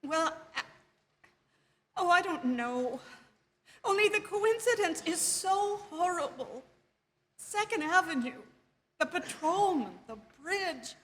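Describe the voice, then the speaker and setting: Casting: woman playing high-pitched, imperious invalid wife, nervy and stammering, classic radio suspense, performed in a reverberant theatre. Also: expressive, slow, long pauses, a bit bright, a little hiss or hum, full character voice. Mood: fearful, defensive. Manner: agitated, stammering, haughty, indignant. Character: nervous, imperious bedridden wife, fretful and insistent. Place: live theatre stage. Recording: long hall reverb from theatre stage.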